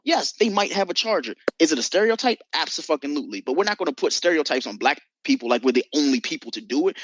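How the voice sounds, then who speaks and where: A woman calling in with a blunt, profane, animated rant. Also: deep voice, slightly rough, no echo, rough recording. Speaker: caller. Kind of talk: casual opinion rant.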